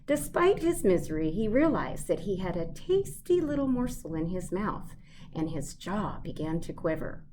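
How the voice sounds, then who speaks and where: A woman giving an expressive read-aloud of a children's storybook. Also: lowish voice, moderate pace, noisy background.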